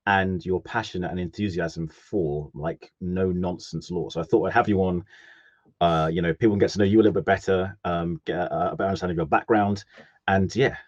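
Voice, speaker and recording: A man's voice: sits low, steady tone, a little fast, lo-fi sound. A man hosting a live stream interview, asking rambling friendly questions with lots of fillers.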